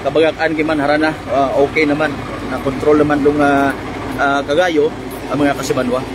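A Filipino male field reporter with a fairly high voice delivers live on-scene commentary. He improvises haltingly as he addresses viewers. The outdoor roadside recording carries clear vehicle and ambient noise. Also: flat delivery. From Philippines. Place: outdoors on a road at a fire scene.

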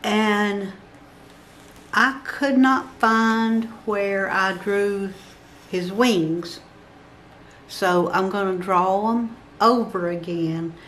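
A woman's voice: mid-range voice, steady tone, very slow, a bit bright, slight background noise. An older woman giving a step-by-step drawing tutorial, patiently counting grid squares aloud as she works at a table. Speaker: craft instructor. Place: indoor work table.

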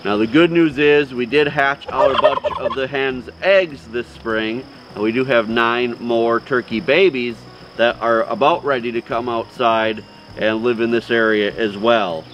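A man's voice: medium pitch, measured pace, background noise. A man in his forties hosting a homestead vlog, speaking casually to camera outdoors on a farm.

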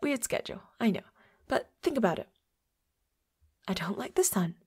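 A woman's voice: fairly high pitch, very animated, normal speed, darkish tone, full character voice. A woman voicing a flirty, playfully predatory vampire roommate in an intimate audio roleplay, with a coy, teasing manner. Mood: coy, secretive. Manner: coy, giggly, teasing, drops into breathy whispers.

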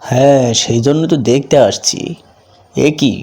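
Man as a mellow, dismissive cartoon king. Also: mid-range voice, fairly even intonation, unhurried, gravelly. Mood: doubtful, dismissive. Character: skeptical king touring his realm in a folk tale. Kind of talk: cartoon voice acting.

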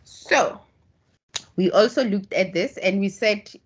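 A woman's voice: mid-range voice, slightly rough, muffled recording. A South African woman whose first language is Tswana, patiently explaining statistics in an online tutorial. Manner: slow, patient explaining with restarts. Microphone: video call.

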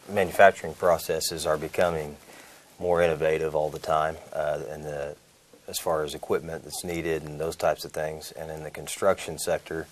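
A man, a welding teacher answering measured questions in a studio interview on a lapel mic. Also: extremely low pitch, a little hiss or hum. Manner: measured, with many "uh" fillers. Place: small TV studio.

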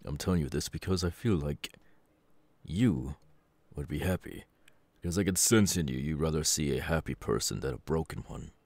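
A man playing a stern, gruff middle-aged superhero mentor and father in an ASMR audio roleplay, with a gravelly, husky voice and a measured, grave manner. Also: a bass voice, a little mellow, no room sound. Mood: grave, hesitant. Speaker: voice actor.

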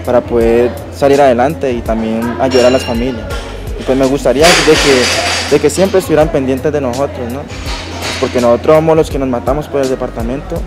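Male, 19, Valle del Cauca Colombian accent, average pitch, informal athlete interview delivery, clear music bed. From Yumbo, Valle del Cauca, Colombia. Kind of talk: documentary interview, personal account. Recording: music under the voice.

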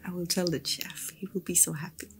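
A woman playing an attentive, friendly fantasy inn hostess in a gentle, whispered ASMR roleplay. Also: fairly low pitch, fairly even intonation. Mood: soft, attentive. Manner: gentle, unhurried, chatty personal attention.

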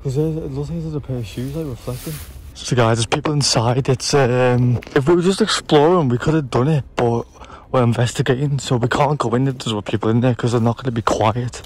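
A man's voice: medium pitch, brisk. A young man talking casually as an exploring vlogger outdoors at night. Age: young adult. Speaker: vlogger and paranormal investigator. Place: outdoors at night near abandoned building.

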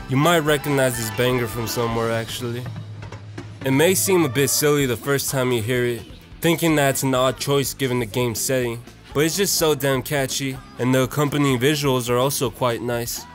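A young man narrating a casual, opinionated video essay in a slangy, profane and irreverent manner. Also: mid-range voice. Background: music.

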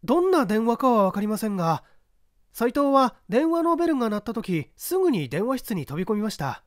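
A dutiful clerk, played by a male reader, testifies politely in a high, light voice. The earnest audiobook delivery swings in pitch and sounds close and dry. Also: very animated, brisk, no room sound. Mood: careful, polite. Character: dutiful night-duty office clerk testifying plainly. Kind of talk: dramatic audiobook reading.

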